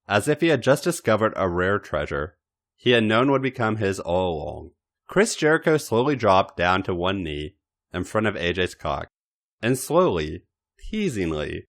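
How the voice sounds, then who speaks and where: Male podcast host and story reader. Low voice, extremely lively intonation, theatrical delivery of scripted erotica. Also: unhurried. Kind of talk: dramatic scripted narration of erotic fiction.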